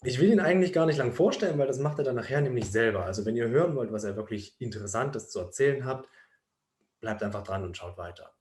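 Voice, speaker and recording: A man's voice: mid-range voice, lively intonation, quick pace, a little mellow, slight background noise. A man hosting an interview series, introducing the episode and addressing viewers directly in a friendly way. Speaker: host, student society spokesman. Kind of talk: podcast intro. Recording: roomy echo.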